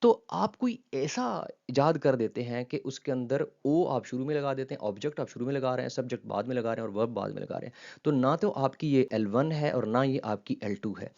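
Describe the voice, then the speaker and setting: A man from Pakistan with a Pakistani accent, explaining a linguistics textbook chapter as a lecturer, mixing English terms into his Urdu and pausing often on fillers. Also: mid-range voice, expressive, gravelly, a little mellow, lo-fi sound.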